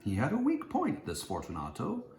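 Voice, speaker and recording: A man giving a theatrical, measured dramatic reading of a classic gothic short story. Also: mid-range voice, huge pitch swings, a little mellow. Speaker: story reader. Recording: roomy echo, faint background noise.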